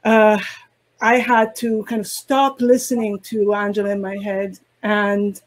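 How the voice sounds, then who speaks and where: A woman whose first language is Italian, speaking as a poetry translator on a video call panel, slow and halting as she searches for words. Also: mid-range voice, level tone. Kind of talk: reflective panel discussion.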